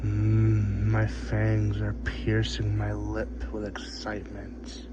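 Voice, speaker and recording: A man playing a seductive, hungry vampire master in a soft-spoken ASMR roleplay, with drawn-out, sighing, murmured speech. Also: lowish voice, monotone, loud music behind the voice. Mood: craving. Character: hungry, seductive male vampire, doting yet domineering master. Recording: dull, muffled audio with clear background noise.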